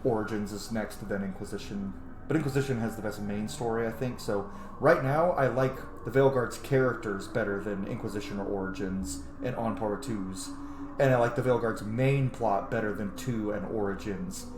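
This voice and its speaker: A man's voice: fairly low pitch, normal speed. A man in his thirties giving casual, rambling, opinionated gaming commentary as a let's play host, swearing freely. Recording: echoey room, noisy game ambience. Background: video game ambience.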